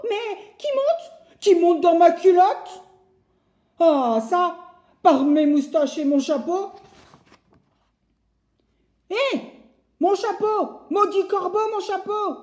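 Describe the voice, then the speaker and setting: A woman voicing a big, blustering, angry male woodcutter who shouts and growls threats. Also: very high voice, fairly even intonation, unhurried, echoey room, voice pushed up. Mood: yelling in pain.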